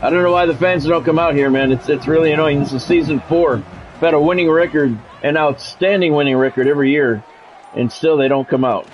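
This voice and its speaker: Higher-voiced man calling football, music behind. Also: a little fast, lo-fi sound. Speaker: game streamer calling sports play-by-play. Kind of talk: football play-by-play mixed with casual stream chat.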